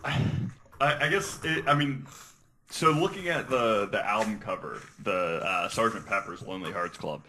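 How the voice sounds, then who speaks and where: A man's voice: fairly low pitch, expressive, normal speed, bright, forceful tone, slight background noise. A man as a podcast guest, chatting casually and working through his thoughts hesitantly. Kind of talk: casual chat.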